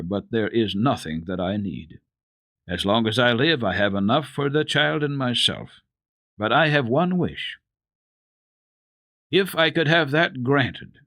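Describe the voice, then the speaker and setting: Give the storybook grandfather an earnest, decided old voice acted by a man reader. He speaks with emphasis and wide swings of pitch. The sound is close and dry. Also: a bit bright, no room sound. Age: older. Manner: slow, emphatic, decided delivery. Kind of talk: dramatic reading of children's classic.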